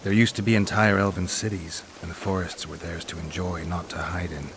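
An actor playing a stoic, world-weary monster hunter in a video game, with a gruff, terse, deadpan delivery. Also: male voice, deep voice, fairly quick. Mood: dry, brooding. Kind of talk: video game dramatic dialogue. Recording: steady rain and ambient noise behind.

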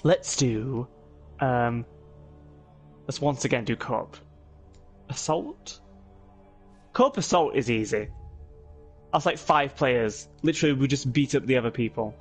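A man's voice: mid-range voice, expressive, unhurried, long pauses, soft background music. A young man chatting casually while gaming, explaining moves and swearing mildly. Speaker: co-player.

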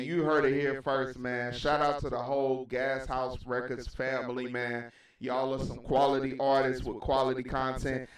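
A middle-aged American man hosting an interview in a casual hype style, emphatic and repetitive. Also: mid-range voice. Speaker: radio show host and DJ. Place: small indoor room, video call. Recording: very echoey, distant from mic.

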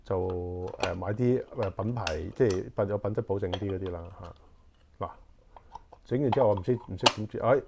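A man's voice: fairly low pitch, normal speed, vocal fry, very noisy. A man in his forties with a Hong Kong Cantonese accent, unboxing camping gear in casual chat and thinking aloud with many fillers, recorded on a lapel mic in a small living room. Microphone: clip-on lapel mic.

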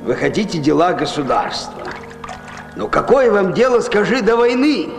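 A man's voice: high voice, sing-song, moderate pace, gravelly, heavily acted. An actor playing an indignant, scornful ancient Greek statesman, declaiming emphatically. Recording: echoey hall sound with music behind.

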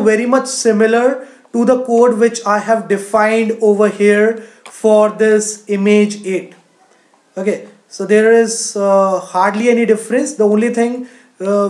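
A woman's voice: medium pitch, darkish tone. Indian-accented female coding instructor, explanatory screencast narration, mid-range voice, roomy echoing recording. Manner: explanatory, frequent "you know".

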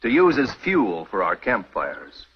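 An actor playing a stern, commanding elder who gives formal orders to a wagon train in a 1950s TV western. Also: male voice, medium pitch, wildly swooping pitch, unhurried, slightly rough, heavily acted. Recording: dull old TV soundtrack.

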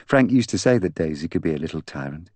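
Audiobook role: proud young woman, bitter and candid, intense delivery, low voice performed by a man. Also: animated, fast talker, darkish tone, no room sound. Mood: scornful. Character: proud, bitter young woman confessing to killing her fiancé. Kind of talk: audiobook character dialogue.

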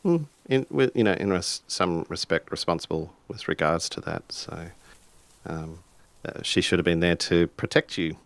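A man improvising dialogue and narration in a tabletop roleplaying game, haltingly searching for words. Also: low-pitched, gravelly, no room sound.

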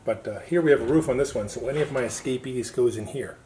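A man's voice: mid-range voice, quick pace. A man speaking casually and plainly as a farmer, explaining things off the cuff in a folksy way outdoors. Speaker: farmer presenting how-to video. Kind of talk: casual unscripted demonstration. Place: outdoors on a farm, concrete patio and pens. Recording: noisy farm recording with chirping birds. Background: loud bird chirps, clucking, clicks.

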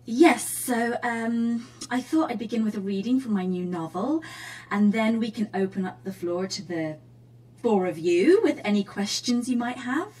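An actress playing an awkward, flustered romance novelist, nervous and earnest, with a husky voice, in a radio drama. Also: female voice, on the high side, moderate pace, darkish tone, low-quality recording. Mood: nervous, polite. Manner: nervous, self-correcting, earnest.

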